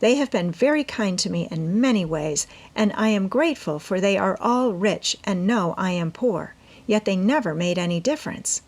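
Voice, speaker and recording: A woman's voice: medium pitch, lively intonation, moderate pace. A woman voicing a genteel, self-assured teenage girl with airy, persuasive manners in a storybook reading. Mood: polite, eager. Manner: airy, important, sweetly persuasive.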